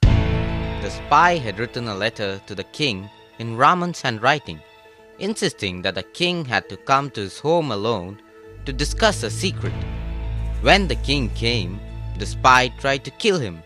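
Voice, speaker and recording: A man reads a children's story in a clear, steady manner. His voice is bright and crisp with lively rises and falls, and light music plays underneath. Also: medium pitch, measured pace. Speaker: narrator. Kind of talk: scripted children's story narration.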